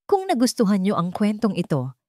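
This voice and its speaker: A woman with a Filipino accent narrates a scripted story in a solemn, measured, dramatic way, pausing deliberately between phrases. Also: medium pitch, wildly swooping pitch, dark tone, no echo, studio quality. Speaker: audiobook narrator.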